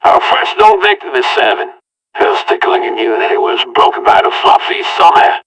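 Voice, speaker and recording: A man's voice: mid-range voice, wildly swooping pitch, brisk, gravelly, fairly bright tone, slightly rough, shouting, highs cut off. A man telling a homemade audio-log story in the first person, with an earnest, rambling delivery. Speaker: narrator. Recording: voice far from the mic.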